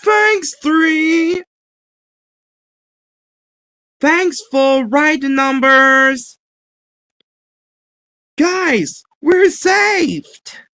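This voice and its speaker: A woman voicing an earnest, worried cartoon adventurer in a halting, exclamatory amateur style. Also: high-pitched, animated, pushed and bright, no room sound, clean studio sound, voice pushed up, heavily acted. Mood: relieved.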